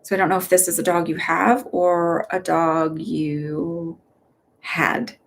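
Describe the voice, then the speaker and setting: Middle-aged woman, Texas-raised, no accent, lowish voice; relaxed, rambling, upbeat live-stream reader. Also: slow pace, vocal fry. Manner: chatty and upbeat, rambling, laughs often; gentle and reassuring when giving advice. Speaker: psychic medium giving live readings. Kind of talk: casual live-stream readings.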